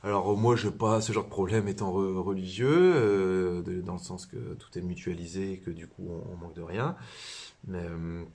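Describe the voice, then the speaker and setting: A man's voice: low-pitched, moderate pace, darkish tone, husky. A man, a priest and religious brother from southern France, giving an informal spiritual talk with many hesitations and restarts. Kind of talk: informal spiritual teaching to camera.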